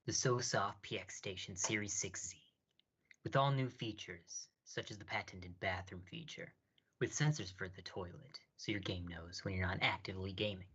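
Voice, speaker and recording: A man's voice: low voice, normal speed, a bit bright, slightly rough, lo-fi sound. A man playing a salesy, emphatic commercial announcer in a parody radio advertisement. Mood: hyping. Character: hyped-up commercial announcer selling a game console.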